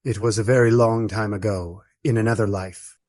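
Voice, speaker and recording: A man acting a courteous former baron turned friar in his fifties, speaking gently and formally in a radio play. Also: fairly low pitch, steady tone, normal speed, close to an everyday voice. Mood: reserved, courteous.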